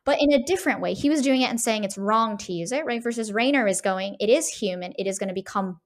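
A woman's voice: medium pitch, fast talker. A woman co-hosting a podcast in analytical conversation, emphatic and often checking in with tag questions. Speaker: podcast co-host.

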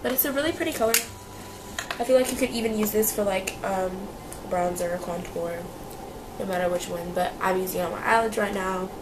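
A young woman talks through beauty products in a loose, chatty way, full of fillers and repeats. Her voice sits at a middle pitch and sounds a little nasal and stuffy from a cold. A clear layer of background noise runs under the recording. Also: fairly quick. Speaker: beauty vlogger. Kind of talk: casual product review.

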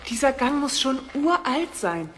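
Actress as a high-voiced woman adventurer. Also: very animated, a little slow, fairly bright tone, a little hiss or hum, exaggerated voice. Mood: curious. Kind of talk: German audio drama acting.

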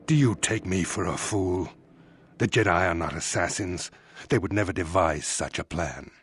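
A man's voice: low voice, moderate pace, slight background noise, natural voice. An actor playing a cold, smug older male fleet admiral in a sci-fi game, speaking with formal, condescending menace. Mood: impatient, threatening. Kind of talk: dramatic video game acting.

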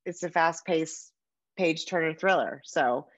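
A middle-aged American woman hosting a casual interview over a video call, effusive and quick to poke fun at herself. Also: low voice, dry recording, muffled recording. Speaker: podcast host interviewing an author. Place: book-lined room at home, indoors.

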